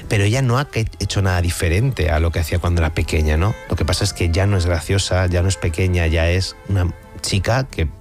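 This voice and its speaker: A man being interviewed on radio, speaking reflectively and thinking aloud, with frequent pauses and restarts. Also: low-pitched, soft background music. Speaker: author interviewed.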